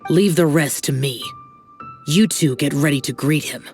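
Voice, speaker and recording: Grave, formal young immortal warrior. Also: male voice, fairly high pitch, faint music behind. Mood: solemn, instructive. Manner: formal, grave, measured instruction. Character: stern, solemn young male immortal demon-slayer. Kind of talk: video game voice acting.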